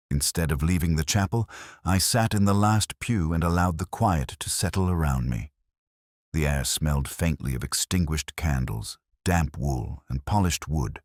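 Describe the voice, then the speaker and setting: Very deep, brooding ageing detective, voiced by a man. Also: a little mellow, no echo, studio quality, heavily acted. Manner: measured, brooding, reflective. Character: ageing male detective narrator, melancholy, introspective, regretful. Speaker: first-person narrator. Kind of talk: scripted literary detective story narration.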